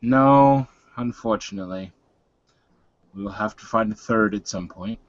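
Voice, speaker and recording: A man plays a gruff, teasing werewolf pack leader in a tabletop roleplay. He talks very slowly and dryly in a lowish voice, on dull, close-sounding audio with no room in it. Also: no echo, close to an everyday voice. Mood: deliberate, teasing.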